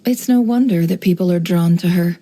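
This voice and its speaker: An actress voicing a sly, composed young woman, an intelligence broker in a video game, speaking in a measured, coolly knowing, teasing way. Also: fairly low pitch, warm tone, close to an everyday voice. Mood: sardonic. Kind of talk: video game character voice lines.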